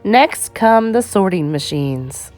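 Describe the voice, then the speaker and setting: A woman reading an educational children's picture book aloud in a measured, clearly phrased storytime delivery. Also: medium pitch, lively intonation, darkish tone, soft background music.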